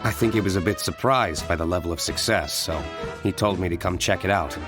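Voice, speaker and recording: A man plays a reserved, shrewd young wine tycoon in an anime game. His voice is on the low side, cool, measured and formal, with clear music behind it. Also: close to an everyday voice. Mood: composed.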